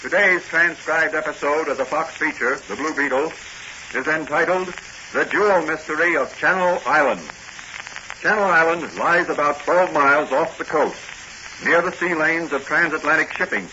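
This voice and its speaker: A male American announcer narrates in 1940s radio style. His voice is bright and forceful, on a dull recording with faint noise. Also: on the high side, normal speed.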